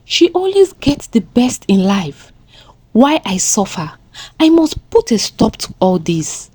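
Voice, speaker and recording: A woman's voice: fairly high pitch, very animated, slow, dark tone, husky, no echo, voice pushed up, heavily acted. A woman voicing a jealous, bitter young woman, a treacherous friend in a folktale, with emotional, dramatic delivery. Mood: bitter fuming.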